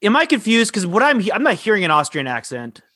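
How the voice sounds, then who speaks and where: A high, bright-voiced man hosts a podcast, talking in an excitable, joking way. His voice sounds close and dry with no room around it. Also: dry recording. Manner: excitable, jokey, quick asides. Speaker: podcast host. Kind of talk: casual chat.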